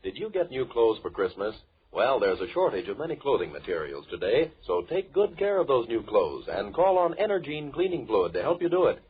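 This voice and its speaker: A man's voice: mid-range voice, big pitch swings, fairly quick, few pauses. A man reading a 1940s radio advertisement as an announcer, with emphatic salesmanship. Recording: tinny, rough old radio recording.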